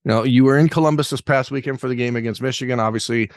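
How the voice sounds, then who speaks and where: A man's voice: mid-range voice, steady tone, fast talker, no echo, clean studio sound. A man hosting an interview podcast, asking long, rambling questions with self-deprecating asides. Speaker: podcast host.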